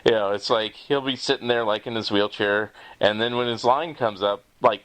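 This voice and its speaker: A man's voice: on the low side, normal speed, a bit bright, poor audio. A man hosting a podcast interview, talking in a casual, agreeable and informal way.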